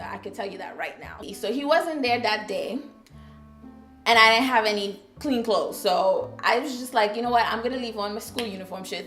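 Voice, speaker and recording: A young woman vlogger telling a personal story, animated, acting out her younger self. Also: on the high side, reverberant. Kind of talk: storytime vlog.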